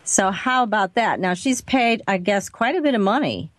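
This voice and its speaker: A woman's voice: mid-range voice, expressive, normal speed, dry recording. A woman hosting a radio talk show, bubbly and effusive as she introduces upcoming guests and her guest.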